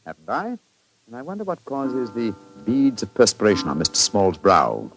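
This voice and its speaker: Radio detective lead: unruffled English gentleman, wry and precise, expressive swoops in a mid-pitched voice, vintage broadcast. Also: moderate pace, faint music behind, poor audio. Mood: sly, watchful. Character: cool, shrewd, theatrical English gentleman detective. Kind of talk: 1940s radio drama acting.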